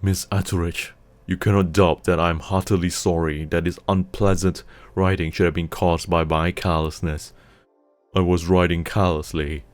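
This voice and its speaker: A man reading aloud and voicing a resentful young rider making a measured, formal apology. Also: low-pitched, level tone, no echo, soft background music, lightly acted.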